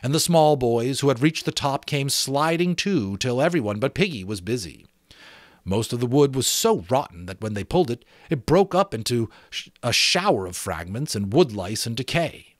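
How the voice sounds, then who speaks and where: A middle-aged man giving an expressive, theatrical amateur reading of a novel, with occasional asides to listeners. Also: mid-range voice, moderate pace, dry recording, clean studio sound. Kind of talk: dramatic audiobook narration with asides to listeners.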